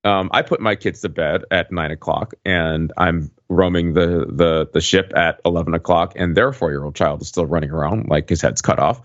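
Male podcast co-host, deep register, wry and rambling delivery, close dry sound with muffled top end. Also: no echo. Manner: halting, digressive, wry. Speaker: podcast co-host recounting a trip. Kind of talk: casual conversational storytelling.

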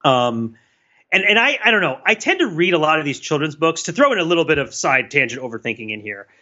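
A man's voice: a little high, big pitch swings, fast talker, bright, forceful tone, highs cut off. A man co-hosting a podcast in casual chat, explaining things in a storytelling way. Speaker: podcast co-host.

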